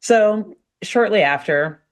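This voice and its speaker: A young woman co-hosting a casual podcast, retelling a cartoon episode in an animated, joking way. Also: mid-range voice, takes it slowly. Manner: animated storyteller, fond of joking asides. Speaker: podcast co-host recapping a cartoon. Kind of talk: casual chat.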